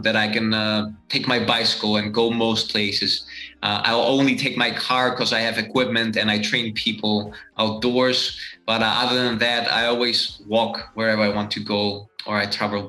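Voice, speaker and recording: A man from Greece in his thirties talks about his life in a relaxed, thoughtful way, pausing often with fillers. His voice sits fairly low but sounds bright and forceful. The room around him is echoey. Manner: relaxed, reflective, many fillers. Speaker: fitness coach and channel host. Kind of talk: casual interview answers.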